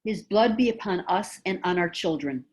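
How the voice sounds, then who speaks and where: A woman's voice: lowish voice, expressive, moderate pace, darkish tone, slightly rough. A woman voicing stern priests and a demanding crowd in an amateur dramatic scripture reading, declaiming over a video call. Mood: insistent, demanding. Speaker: amateur church reader. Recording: noisy video call with clicks.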